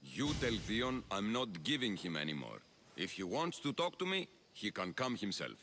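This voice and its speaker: Grudging, low-voiced Athenian. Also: male voice, big pitch swings, moderate pace, a bit bright, slight background noise. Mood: defensive. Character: vain, grudging Athenian man in debt. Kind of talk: video game cutscene acting.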